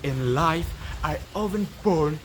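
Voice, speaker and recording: A teenage student from Indonesia with an Indonesian accent tells a folktale dramatically, with deliberate, emphatic pauses. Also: medium pitch, lively intonation, noisy background. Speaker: student storyteller. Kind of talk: dramatic oral storytelling for a competition.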